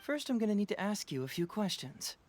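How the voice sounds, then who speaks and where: A man's voice: high voice, sing-song, a little fast, a little mellow, slight background noise. An actor playing a shrewd young male detective in a video game, probing and playfully skeptical.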